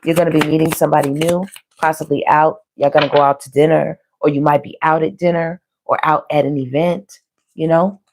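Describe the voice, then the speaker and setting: A hoarse woman giving a casual, conversational tarot reading to online viewers, speaking colloquially and often checking in with her listeners. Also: low-pitched, steady tone, a little fast, background noise. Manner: colloquial, frequently checking in with "you know" and "okay". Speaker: tarot reader hosting a live online reading.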